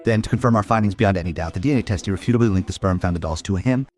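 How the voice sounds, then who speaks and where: A man playing a grim, hard-bitten police investigator, narrating a crime audio drama in a measured, brooding way with a husky voice. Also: deep voice, darkish tone, soft background music, natural voice. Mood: clinical, resolute.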